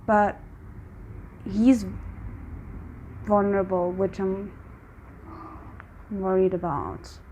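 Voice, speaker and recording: A woman's voice: mid-range voice, fairly even intonation, very slow, long pauses, warm tone, slight background noise, rough recording. A woman giving casual, emotionally invested reaction commentary as she watches a drama, exclaiming and thinking aloud. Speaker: reaction video host.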